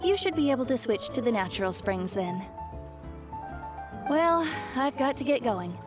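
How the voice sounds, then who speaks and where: Caring, strict auntie, animated voice. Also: female voice, on the high side, moderate pace, music in the background. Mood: lecturing. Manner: instructive, gently bossy. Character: caring, strict auntie who dotes and scolds. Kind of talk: anime game voice acting.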